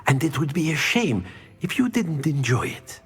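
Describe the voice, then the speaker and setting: An actor playing a cheerful, kindly middle-aged professor at a magic school in a video game, with a theatrical, musing way of talking. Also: male voice, fairly high pitch, very animated, moderate pace, fairly bright tone, breathy edge, soft background music, exaggerated voice. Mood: cheerful, encouraging. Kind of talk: video game dialogue acting.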